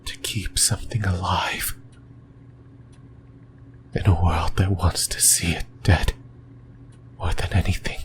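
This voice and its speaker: A man voicing a lonely, possessive demon in a breathy, brooding whisper for an ASMR roleplay. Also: sits low, little pitch movement. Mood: tender, yearning. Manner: slow, halting, brooding delivery. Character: lonely, possessive sleep paralysis demon, lost and yearning.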